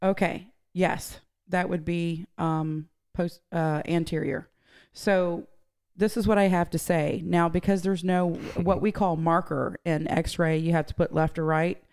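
Hoarse woman, gravelly, podcast co-host, chatty, explains anatomy. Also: lowish voice, flat delivery, measured pace, a little mellow. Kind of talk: casual podcast chat.

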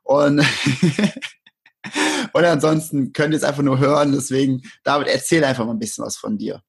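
A man hosting a casual interview podcast, enthusiastic and quick to laugh, using casual slang. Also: medium pitch, animated, gravelly, breathy edge. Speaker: podcast host.

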